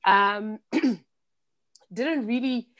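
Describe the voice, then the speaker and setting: A woman's voice: a little high, very slow, fairly bright tone. A woman in her late thirties who grew up in Zimbabwe, a podcast guest talking in a thoughtful, rambling way with many restarts. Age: 30s. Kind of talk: casual interview chat.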